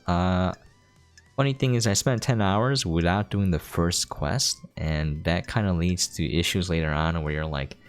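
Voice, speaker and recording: Low-voiced man casually commentating a game. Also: clear, resonant tone. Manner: explanatory, informal. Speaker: game streamer giving a preview. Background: game music.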